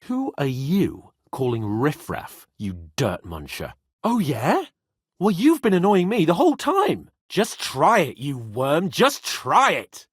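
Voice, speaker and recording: An actor playing a proud, hot-headed young nobleman in a video game, with a theatrical, sharp-tongued manner. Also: on the high side, wildly swooping pitch, slow pace, breathy edge, no room sound, clean studio sound, full character voice. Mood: haughty, scornful. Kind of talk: dramatic video game voice acting.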